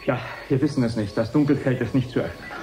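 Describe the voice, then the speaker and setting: A man's voice: medium pitch, fast, lightly acted. An actor playing a worried male technician reporting in short sentences in a science fiction radio play. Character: worried control-centre technician reporting a system failure. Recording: echoey room sound.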